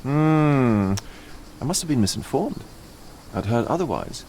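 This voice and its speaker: A man's voice: medium pitch, very animated, measured pace, a little mellow. A genteel, theatrical male art dealer for a game cutscene. His florid, teasing phrases rise and fall dramatically, with a sly, probing undertone. Faint ambient noise sits behind the voice. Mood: sly, polite. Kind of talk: video game cutscene acting.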